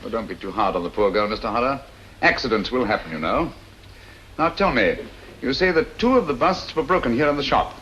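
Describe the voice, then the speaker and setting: An actor playing a shrewd, courteous detective who questions people crisply and with authority in an old detective drama. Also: male voice, medium pitch, animated, a little fast, a bit bright, dull audio, lightly acted. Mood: courteous, probing. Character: shrewd, commanding male private detective, courteous and probing. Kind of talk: old-time detective film acting. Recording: old soundtrack with background noise.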